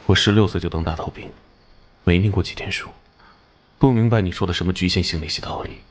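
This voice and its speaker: An actor voicing a hot-tempered, haunted police captain and former soldier in an animated drama, blunt and earnest. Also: male voice, low voice, quick pace. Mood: earnest, firm. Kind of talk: Chinese animation dubbing.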